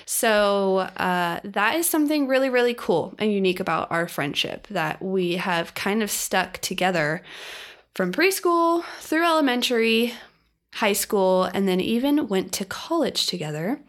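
A woman's voice: medium pitch, lively intonation, unhurried, fairly bright tone. A young woman co-hosting a podcast in casual chat, upbeat as she lays out what the episode will cover. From Oregon. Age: young adult. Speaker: podcast co-host.

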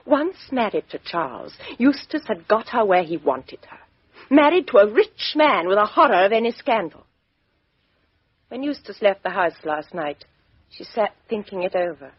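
A woman's voice: mid-range voice, sing-song, moderate pace, husky, no echo. A defiant, bitter young woman. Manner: sharp, defiant, emotional. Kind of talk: 1950s radio drama acting. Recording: dull lo-fi 1950s radio recording.

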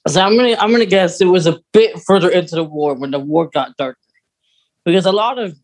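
A man with a voice pitched a little high chats as a podcast co-host. He muses out loud in a casual, tentative way, working through fan lore as he speaks. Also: quick pace.

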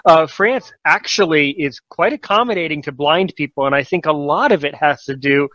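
A man's voice: on the high side, moderate pace, pushed and bright, dry recording, lo-fi sound. A man hosting a casual podcast talk show, encouraging and rambling, often correcting himself mid-sentence.

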